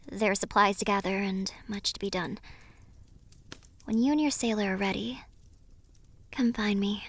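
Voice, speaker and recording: A woman playing a gentle, mysterious siren in an audio roleplay, guarded and wistful, speaking softly and with measured care, often slipping into a breathy whisper. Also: mid-range voice, close to an everyday voice. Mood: wry, gentle. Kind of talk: cinematic audio roleplay acting.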